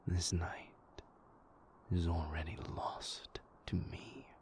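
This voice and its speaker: A man playing a moody, tender vampire lover in an intimate audio roleplay, speaking breathily in formal, measured phrases. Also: low voice, completely flat delivery, darkish tone. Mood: weary, tender. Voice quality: breathy, whispery.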